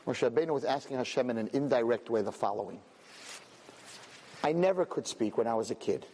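A man giving a lively Torah lecture to a live audience, acting out pleading voices and mixing in Hebrew terms. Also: on the high side, husky. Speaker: rabbi lecturer. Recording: long reverb of a hall.

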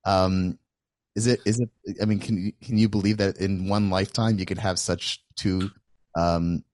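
A man with a fairly low voice hosts the interview, recorded with a dry, close sound. He circles around his questions, restarting and filling pauses as he goes. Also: darkish tone, dry recording. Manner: rambling questions with many fillers and restarts. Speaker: interview host. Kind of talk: hosting an interview.

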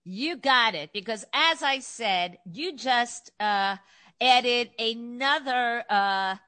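Upbeat New York woman host, bright, crisp voice, dry close sound. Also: on the high side, slow, dry recording. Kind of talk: interview host.

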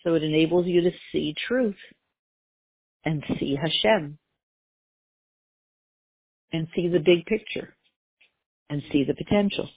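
A woman's voice: deep voice, measured pace, pauses often, breathy edge, dry recording. A woman giving a religious class, explaining with rhetorical questions and everyday examples. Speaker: Torah teacher. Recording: tinny phone-like line.

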